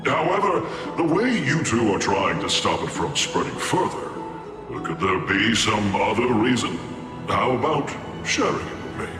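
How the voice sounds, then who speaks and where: A man's voice: lowish voice, big pitch swings, moderate pace. A man voicing a boastful, gossipy talking lion head in a video game, theatrical, grandiose and wheedling. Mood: sly, coaxing. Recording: echoey hall reverb, music underneath.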